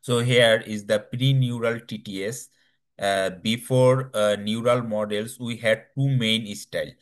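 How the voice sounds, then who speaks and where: A man giving a technical slide presentation in a halting, hesitant way, with non-native English phrasing. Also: mid-range voice, steady tone.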